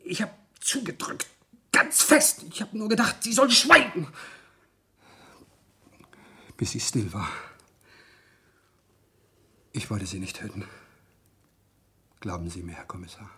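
A nervous guilty office clerk in a 70s german radio drama, husky, halting, pleading with the police. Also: male voice, lowish voice, lively intonation, sharp tone, exaggerated voice. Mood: anguished, pleading. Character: cornered, guilt-ridden male office clerk confessing. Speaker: actor in a crime radio play. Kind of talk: 1970s German radio play acting.

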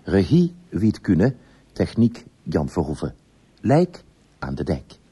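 A man's voice: sits low, wildly swooping pitch, slow pace, mellow, slightly rough. A man announcing the credits of a radio play in a scripted style.

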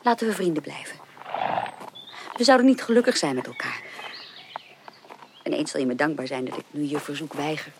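A posh young lady in an old radio play, candid n firm, kinda low voice, bit of noise. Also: brisk, pauses often. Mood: firm, earnest. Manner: formal, firm, reproachful. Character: proud, candid young upper-class woman rejecting a suitor. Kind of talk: 1980s Dutch radio drama acting.

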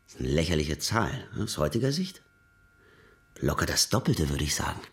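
A man's voice: rumbling low, sing-song, a little fast, slightly rough, exaggerated voice. Sarcastic man on the run. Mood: wry, rambling. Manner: sarcastic, colloquial, digressive. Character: sarcastic, rambling fugitive man, prosecutor's resentful son. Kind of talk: first-person narration and dialogue in German radio crime drama.

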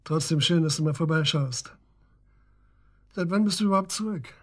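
An actor playing a wry, inquisitive middle-aged police officer questioning an old friend in a naturalistic radio drama. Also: male voice, a little high, normal speed, a bit bright. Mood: teasing. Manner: inquisitive, prodding, familiar.

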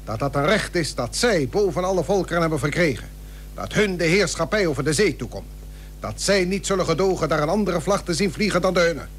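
Historical radio play: a persuasive shipowner-statesman, higher-voiced, earnest and formal, faint hum on the tape. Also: animated, fairly bright tone. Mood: grave, indignant. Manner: formal archaic diction, measured, earnest. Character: Lampsens, grave 17th-century merchant shipowner and statesman, persuasive. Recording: old radio recording with hum and hiss.

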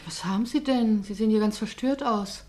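A woman's voice: medium pitch, fairly quick, darkish tone, lightly acted. An actress playing an irritable, impatient woman at a card table in a German radio play, with snippy delivery. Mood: exasperated. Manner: snippy, exasperated. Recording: faintly noisy radio play recording.

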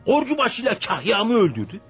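An actor playing a blustering, tyrannical but fearful rural landlord in a Turkish radio play, with theatrical delivery. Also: male voice, high voice, wildly swooping pitch, brisk, a bit bright, husky, full character voice. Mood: anxious indignant. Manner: theatrical, blustering, hesitant when cornered. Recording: faint music behind radio drama.

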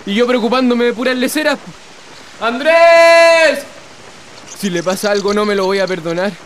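A Chilean teenage older brother in a radio drama. His voice is high for a male, fretful and prone to grumbling, with faint outdoor noise behind it. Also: expressive, moderate pace, fairly bright tone. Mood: anxious, self-blaming.

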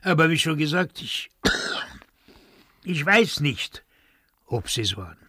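An actor playing a wary, resigned village witness, confiding in a halting, deliberate way in a radio play. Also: male voice, fairly high pitch, sing-song, pushed and bright, no echo, studio quality, full character voice. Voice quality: creaky, gravelly.

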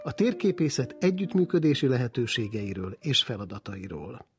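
A man's voice: mid-range voice, big pitch swings. A man narrating a scripted radio feature as its interviewer, in composed, written sentences.

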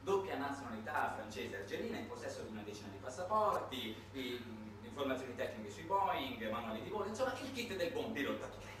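Male satirical stage narrator, ironic and dramatically halting, lively intonation, distant mic in a highly reverberant room. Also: background noise. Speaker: monologue actor and narrator. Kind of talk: satirical theatrical monologue. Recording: very echoey room, recorded from afar.

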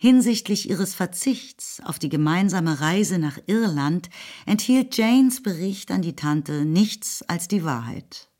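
A woman narrating a literary radio drama in a measured, composed way. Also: lowish voice, lively intonation, a little mellow.

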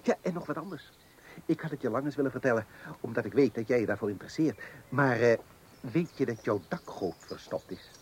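Male nagging neighbour for radio comedy: smug, patronising, husky, very lively intonation. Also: mid-range voice, fairly quick. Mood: smug, insistent. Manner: patronising, insistent. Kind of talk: radio comedy play acting.

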